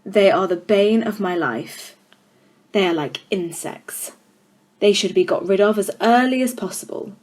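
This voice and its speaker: A woman's voice: medium pitch, a little slow, a little mellow, lightly acted. A woman voicing a tyrannical, menacing headmistress in a storybook, emphatic and contemptuous. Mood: scornful. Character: tyrannical, child-hating, menacing adult headmistress.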